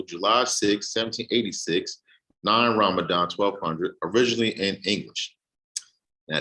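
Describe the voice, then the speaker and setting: A man giving a casual explanatory talk, reading a text aloud with halting restarts. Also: fairly low pitch, sharp tone, poor audio. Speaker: host.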